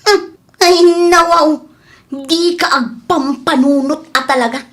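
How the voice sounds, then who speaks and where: Actress as exasperated scolding wife, high-pitched, Ilocano accent, radio comedy drama style. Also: female voice, measured pace, fairly bright tone. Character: fed-up young wife, scolding her husband.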